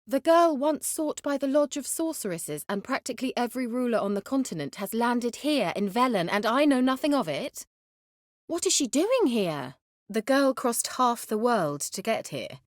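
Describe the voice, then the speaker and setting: A haughty young sorceress from a fantasy game. Her speech is teasing and sardonic, with a very animated, expressive pitch. The sound is dry, with no room around it. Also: dry recording. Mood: grave, indignant. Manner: haughty, teasing, biting sarcasm. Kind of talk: video game character dialogue.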